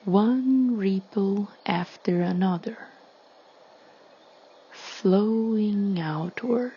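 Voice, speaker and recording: A woman leading a guided meditation in a soothing, hushed, breathy delivery with long pauses. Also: mid-range voice, muffled recording.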